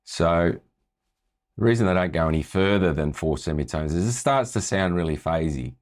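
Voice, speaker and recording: Very deep-voiced, chatty man tutoring. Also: moderate pace. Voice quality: low voice. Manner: chatty, relaxed, often checks in with "right?". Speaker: music tutor. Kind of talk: casual screen-share tutorial.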